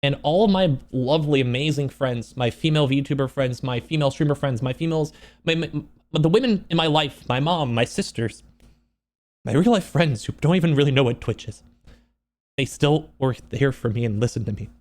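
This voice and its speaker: A man speaking off the cuff in a personal vlog, upset and close to crying, halting and repeating himself earnestly. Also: mid-range voice, a little mellow. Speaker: streamer and video creator. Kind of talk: unscripted personal vlog monologue.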